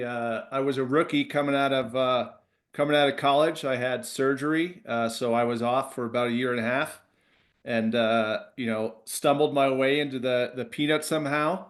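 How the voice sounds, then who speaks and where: A middle-aged man talking as a guest, telling stories in an informal, halting way. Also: mid-range voice, fairly bright tone. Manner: informal, halting, quotes dialogue. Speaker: guest, former relief pitcher. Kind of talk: casual anecdote.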